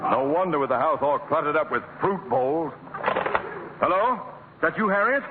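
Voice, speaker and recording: An actor playing an excitable, blustering middle-aged man, easily flustered, in a vintage radio comedy. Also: fairly high pitch, normal speed, natural voice. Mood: exasperated. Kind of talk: 1950s radio comedy acting. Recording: noisy, tinny old radio broadcast.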